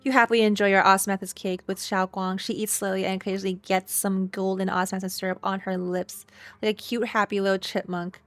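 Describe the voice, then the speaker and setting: A woman's voice: medium pitch, level tone, brisk, a bit bright, no echo. A woman streamer giving casual, playful and wry reaction commentary on a game, close to the microphone. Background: faint game music.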